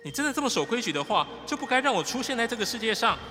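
A man's voice: very high-pitched, sing-song. An actor playing a defiant young man who challenges his father, angry and rebellious, in an audio drama. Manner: defiant, challenging, quick to anger. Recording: reverb with faint music.

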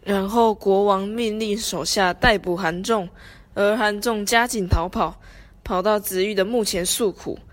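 A woman's voice: medium pitch, steady tone, a little slow. A woman with a Taiwanese Mandarin accent reading scripted narration for an amateur radio drama. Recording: background music under the voice.

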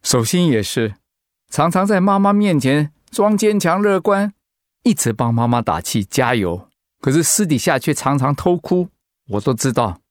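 A man's voice: fairly high pitch, very animated, moderate pace, dry recording, clean studio sound. A man acting in a radio drama as a humble, anguished pastor and devoted husband, speaking in a heartfelt, sighing way. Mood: sorrowful, helpless.

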